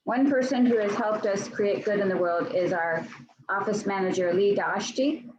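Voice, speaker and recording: Female minister, mid-pitched, measured and hesitant, lo-fi video-call sound with slight background noise. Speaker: church minister. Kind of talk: congregational address.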